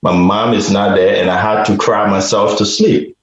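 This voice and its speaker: A man from Liberia, a guest on a radio interview, telling a personal story slowly and reflectively, often restarting his sentences. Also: fairly low pitch, fairly even intonation, heavy reverb, highs cut off. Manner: slow, reflective, with repeated restarts.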